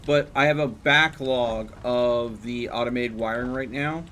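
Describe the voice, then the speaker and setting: A man's voice: mid-range voice, fairly even intonation, unhurried, bright, forceful tone, slight background noise. A man chatting casually while streaming a game, thinking aloud and explaining numbers as he plays. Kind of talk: casual chat while gaming.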